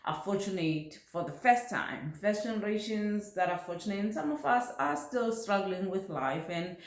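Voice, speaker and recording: A woman's voice: medium pitch, lively intonation, moderate pace. A middle-aged woman with a South African accent talks casually and reflectively to camera, explaining things like a parenting vlogger. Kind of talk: casual personal talk to camera. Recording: roomy, echoey home recording.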